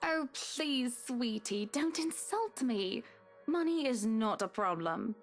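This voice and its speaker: Casting: high-pitched, moneyed married lady, syrupy and condescending, visual novel game. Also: expressive, faint music behind. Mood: condescending, pushy. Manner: saccharine, patronizing, drawn-out. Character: wealthy, condescending married woman, sugary and pushy.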